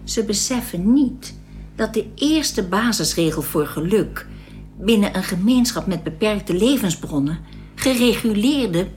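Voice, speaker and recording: A woman's voice: medium pitch, lively intonation, measured pace, a little mellow, faint music behind, close to an everyday voice. An actress playing a serene, proud young alien woman of a perfected race in a science-fiction radio drama, speaking in a composed, instructive way. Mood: detached, explaining.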